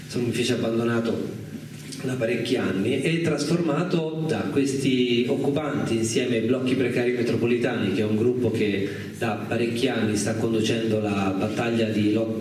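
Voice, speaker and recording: A man's voice: medium pitch, darkish tone. Man in a very echoey room. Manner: hesitant, searching for words, reflective. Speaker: guest speaker, documentary filmmaker. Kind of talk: informal live talk, unscripted storytelling. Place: echoing indoor live radio event. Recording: echoey room, distant mic, faint noise.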